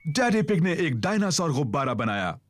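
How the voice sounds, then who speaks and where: A man's voice: a little high, very animated, brisk, no room sound. A man playing a friendly storybook narrator in a children's cartoon, delivering scripted narration. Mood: storytelling.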